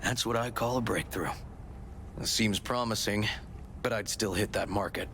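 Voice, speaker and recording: A man's voice: on the low side, normal speed, a bit bright, a little hiss or hum. An actor playing a seasoned, composed male police detective in a video game, speaking in a dry, wry, matter-of-fact way. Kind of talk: naturalistic video game acting.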